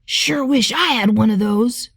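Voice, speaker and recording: A woman's voice: on the high side, measured pace, creaky, husky, dry recording, full character voice. A woman voicing a wistful, grumbly young boy in a storybook, asking informal, complaining questions. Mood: curious, wistful.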